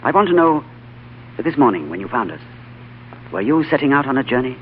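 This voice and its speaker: An actor playing a composed, shrewd gentleman in an old radio drama, urbane, courteous and polished in speech and narration. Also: mid-range voice, sing-song, fairly quick, a little hiss or hum, close to an everyday voice. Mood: probing, wry. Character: cultured, composed, shrewd British diplomat narrator. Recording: muffled vintage radio broadcast with music.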